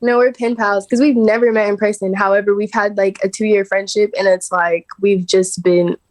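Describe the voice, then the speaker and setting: A woman's voice: mid-range voice, moderate pace, dry recording. A woman in her twenties, a podcast guest, chatting casually and laughing often. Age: 20s. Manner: relaxed, laughing, chatty with lots of fillers. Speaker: guest, social media creator. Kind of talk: casual chat.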